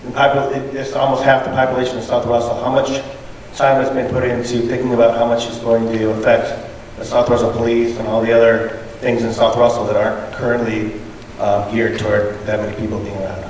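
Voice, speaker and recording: A man resident asking a question loudly without a microphone at a public meeting. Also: mid-range voice, level tone, fairly quick, hardly any pauses, a little hiss or hum. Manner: loud, speaks without a microphone. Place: large meeting hall. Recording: echoey hall, distant voice.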